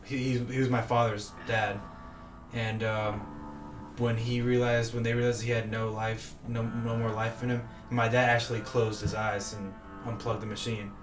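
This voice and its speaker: A man's voice: medium pitch, level tone, distant mic, over music. Casual male interviewer heard from afar. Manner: casual, halting, laughs often. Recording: echoey room, voice away from mic.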